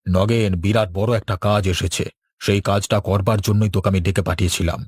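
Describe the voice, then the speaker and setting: A man's voice: lowish voice, fairly even intonation, fairly quick, darkish tone, breathy edge, no echo, clean studio sound. A man voicing a scheming village strongman in a cartoon, conspiratorial and commanding. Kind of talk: cartoon dialogue.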